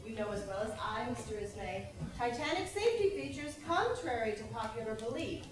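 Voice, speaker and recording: A woman's voice: a little high, big pitch swings, a little fast. A woman on stage playing a sarcastic, accusing interrogator with a pointed, theatrical manner. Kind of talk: stage play acting. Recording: very echoey stage, distant microphone.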